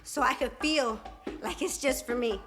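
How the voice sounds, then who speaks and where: A young woman performing spoken word poetry at a live open mic, with a rhythmic, emphatic delivery and dramatic pauses. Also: a little high, loud music behind the voice. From Puerto Rican and Dominican heritage.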